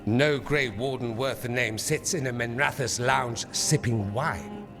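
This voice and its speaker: A man's voice: medium pitch, measured pace, creaky. A man playing a witty, haughty nobleman mage in a fantasy game, with an arch, polished, theatrical delivery. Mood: proud. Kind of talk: theatrical cinematic game dialogue. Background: music.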